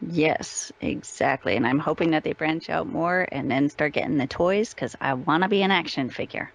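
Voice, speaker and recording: A woman's voice: sits low, normal speed, gravelly. A woman chatting casually as an interview guest. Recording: slightly dull lo-fi call audio.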